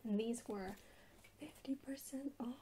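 A woman chatting casually in a soft, hushed, partly whispered ASMR style as she hosts a haul video. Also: a little high, measured pace, a little hiss or hum. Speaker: video host. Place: indoors at a table.

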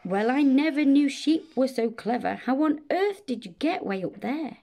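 A woman's voice: a little high, lively intonation, slow, vocal fry, warm tone, a little hiss or hum, pitched above the performer's own voice, exaggerated voice. A woman voicing a plucky, curious female farm cat in a storybook, earnest and exclaiming. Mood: astonished.

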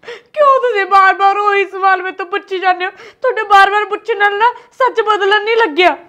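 A young wife accused of something she did not do, speaking, voiced by a woman. Her voice is very high and bright, and she pleads and protests through tears. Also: fairly quick. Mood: tearful. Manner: protesting, tearful.

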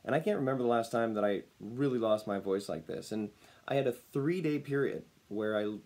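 Slightly raspy man recovering his voice. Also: medium pitch, brisk, a little mellow. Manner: upbeat, smiling, chuckles often. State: recovering from lost voice. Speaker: personal branding speaker. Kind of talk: casual talk to camera. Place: home room, indoors. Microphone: webcam at desk distance.

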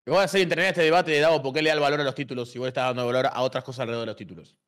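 A man's voice: mid-range voice, fairly even intonation, very fast, fairly bright tone. A young man with an Argentine accent chatting casually in a football debate.

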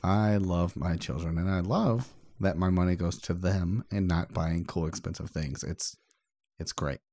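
A man's voice: low voice, unhurried, dark tone, dry recording. A man co-hosting a podcast in casual, conversational banter. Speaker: podcast co-host.

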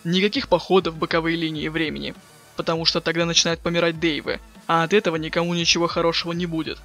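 A man's voice: fairly high pitch, flat delivery, fast talker, creaky, bright, forceful tone, faint music behind. A man playing a cool, impatient, sardonic teenage boy in a dramatic webcomic reading. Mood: matter-of-fact. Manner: flippant, sardonic, slangy.

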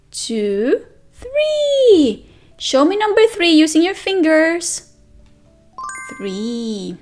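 A woman teacher reading a picture book aloud to toddlers, asking questions and counting along with them. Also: extremely high pitch. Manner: animated sing-song, asks children questions and counts along. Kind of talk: read-aloud circle time for toddlers. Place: indoors at a table, only hands and book in frame.

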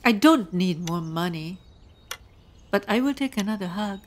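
A woman acting in a musical audio drama as a loving mother of a grown son, wistful, tender and gently reproachful. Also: medium pitch, animated, normal speed, a little hiss or hum, close to an everyday voice. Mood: tender, resigned.

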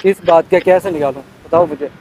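High-pitched man refusing firmly. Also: fairly quick, low-quality recording. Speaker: prank target. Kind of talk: casual arguing. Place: public park, outdoors. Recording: outdoor park, background chatter, birds.

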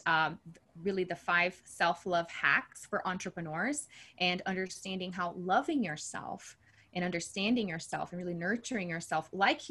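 A woman's voice: fairly low pitch, fairly bright tone. A woman in her thirties from Brazil, answering interview questions as a coach in an earnest, enthusiastic, conversational way. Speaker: coach and podcast host being interviewed.

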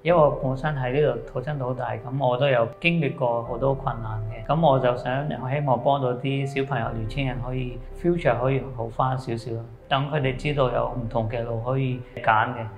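A man from Hong Kong talks in a relaxed, plain way at an average pitch, sprinkling in English words as he describes his work. Soft music plays faintly under the interview. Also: brisk, few pauses. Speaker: activity coordinator. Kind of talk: documentary interview.

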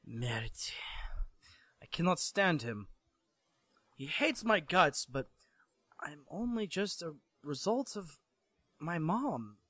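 A sarcastic young man with French blood, mock-grand and guarded, cursing in French when annoyed, as a man reads him. His voice leaps up and down in pitch. It sounds dry and close to the mic. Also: very animated, slow pace, long pauses, a bit bright, slightly rough, dry recording, heavily acted. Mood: wry.

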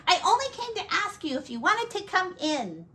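Woman acting tiny frog, storybook creature, squeaky high pitch, friendly, inviting. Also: very animated, normal speed, bright, forceful tone, heavily acted. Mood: friendly, apologetic.

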